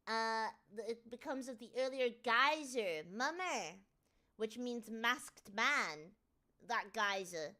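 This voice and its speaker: A woman with a Northern English accent, chatting casually on a live stream and answering viewers, with sudden excited shouts. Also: on the high side, slow. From Northern England. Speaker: VTuber streamer.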